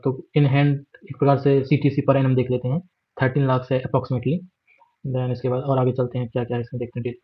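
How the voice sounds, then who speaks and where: Indian guy explaining exam rules, keeps it flat, dull muffled recording. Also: medium pitch. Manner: steady, plain explaining with fillers and English words mixed in. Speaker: exam guide presenter. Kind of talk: screen walkthrough of a job notification.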